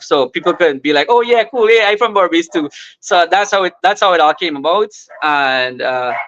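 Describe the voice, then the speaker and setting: A man rambles happily through his stories from home, restarting his sentences and laughing along. His voice is bright and fairly high. The call audio comes through dull and lo-fi. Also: slight background noise. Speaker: musical artist and guest. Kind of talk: casual storytelling on a remote interview.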